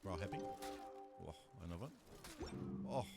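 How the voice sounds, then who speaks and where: Deep gravelly man commenting on gameplay. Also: takes it slowly, over loud music. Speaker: video game streamer.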